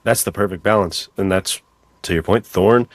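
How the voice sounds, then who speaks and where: A man's voice: sits low, normal speed, no echo. A man hosting a podcast, chatting casually and with enthusiasm about books. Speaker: podcast host. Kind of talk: casual podcast interview chat.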